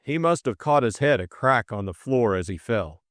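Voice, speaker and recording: A man voicing a calm, reassuring police superintendent in an audiobook, easy and unflappable. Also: medium pitch, moderate pace, no room sound, clean studio sound, close to an everyday voice. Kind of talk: audiobook character acting.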